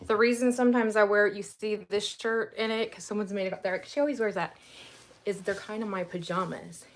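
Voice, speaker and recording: A woman's voice: mid-range voice, steady tone, fairly quick, slight background noise. A woman co-hosting a casual livestream, greeting viewers in the chat by name as she talks. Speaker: livestream co-host.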